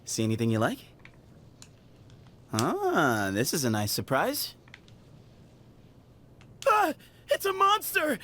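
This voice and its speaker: A man's voice: on the high side, huge pitch swings, slow pace, long pauses, bright, forceful tone, a little hiss or hum, full character voice. A man voicing a friendly, adventurous young male character in a video game. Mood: playful, eager.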